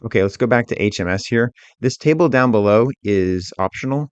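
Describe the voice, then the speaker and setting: A man working as an instructor, narrating a software tutorial in a methodical, informal, step-by-step way. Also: medium pitch, expressive, a little fast, smooth, no room sound, muffled recording.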